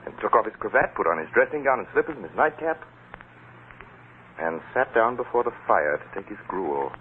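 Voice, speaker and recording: A man's voice: lowish voice, sing-song, brisk, phone-quality sound. A man narrating a story dramatically in a 1930s radio style. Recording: old radio, thin band, faint noise.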